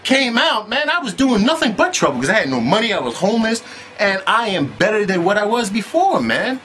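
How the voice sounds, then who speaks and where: A man's voice: high voice, very animated, brisk, bright, forceful tone, slight background noise. A man telling his own story in casual, profane street slang, with "man" tossed in constantly. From United States.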